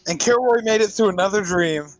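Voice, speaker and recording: A man's voice: high-pitched, a little fast, faint music behind, rough recording. A man playing a tabletop roleplay game, talking casually and speaking for his character.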